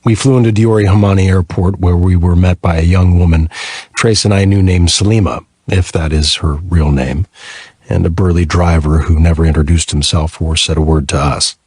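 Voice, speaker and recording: A man's voice: low voice, steady tone, moderate pace, darkish tone, husky, no echo, clean studio sound. A man narrating a first-person thriller audiobook from a script.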